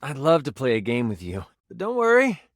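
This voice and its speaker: A man's voice: mid-range voice, wildly swooping pitch, fairly quick, no room sound, clean studio sound. An actor voicing a personified gaming die as a nerdy, earnest, awkward man who stammers and gets theatrical, in video game style. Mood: flirty, cheerful. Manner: hesitant, stammering, effusive, theatrical gamer talk.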